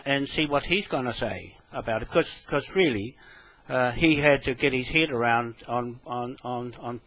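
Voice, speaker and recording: A man with a New Zealand accent talking on the telephone in a halting, repetitive, rambling way. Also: medium pitch, fairly bright tone, no room sound, rough recording. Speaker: caller with a complaint. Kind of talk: phone conversation.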